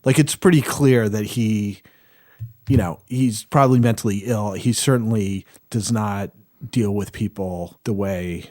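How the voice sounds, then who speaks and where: A man's voice: fairly low pitch, measured pace, darkish tone, no room sound, clean studio sound. A man chatting as a podcast panelist, thinking aloud in a tentative, casual way. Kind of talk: casual chat.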